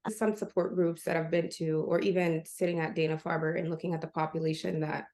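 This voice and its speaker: Young Kenyan-born woman, flat low gravelly delivery, reflective. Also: brisk. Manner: thoughtful, with frequent likes and ums. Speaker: patient sharing her story. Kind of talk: reflective interview answers. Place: home room with curtains. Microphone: video call webcam.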